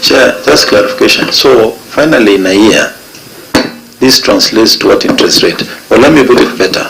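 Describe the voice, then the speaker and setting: Low gravelly senator pressing for evidence. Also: male voice, normal speed, background noise. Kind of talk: questioning at a committee hearing. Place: parliament committee room.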